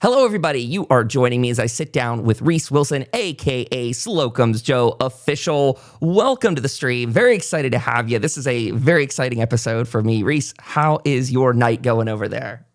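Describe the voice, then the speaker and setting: A man hosts a casual podcast in a mid-pitched voice with noticeable creak. He talks off the cuff in an informal, chatty way, as if there were no script. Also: lively intonation, a little fast, hardly any pauses. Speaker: podcast host. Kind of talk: casual, unscripted podcast hosting.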